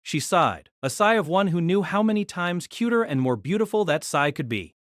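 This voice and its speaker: A man narrating an audio drama in scripted storytelling style, expressive and engaged. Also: normal speed, no echo, clean studio sound. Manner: expressive storytelling with lively pitch.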